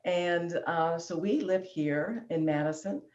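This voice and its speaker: A woman's voice: on the low side, takes it slowly. A woman in her fifties from Illinois, a guest in an online interview, talking in a chatty way with easy laughs and ums. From Illinois, USA. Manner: warm chatty, laughing easily, filler ums. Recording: roomy, dull lo-fi sound.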